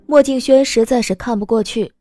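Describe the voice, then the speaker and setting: A woman narrating a scripted audio drama in an expressive storytelling manner. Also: fairly high pitch, fairly quick. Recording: music bed behind the voice.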